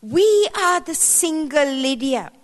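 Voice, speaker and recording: A woman in her seventies speaks from a stage mic in a hall, her voice sitting a bit high. She talks playfully and conversationally, tossing in emphatic, rising asides to a room of women. A long reverb trails behind each phrase. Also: animated, slow pace. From Sri Lanka. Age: 70s. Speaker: guest speaker. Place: hall at a women's church event.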